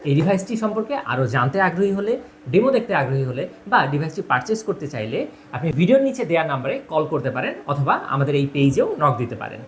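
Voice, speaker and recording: A man's voice: a little high, big pitch swings, quick pace. A man narrating a product demonstration, explaining step by step and addressing viewers directly. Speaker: product presenter. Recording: clear background noise, ambient sound.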